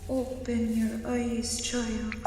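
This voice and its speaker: Slow, ominous nun in deep echo. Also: female voice, completely flat delivery, faint music behind. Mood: hushed, soothing. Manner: measured, deliberate, cryptic. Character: stern, ominous convent nun, calm and devout. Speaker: actor playing a nun. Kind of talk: horror audio drama acting. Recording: very echoey stone-like hall, loud background noise.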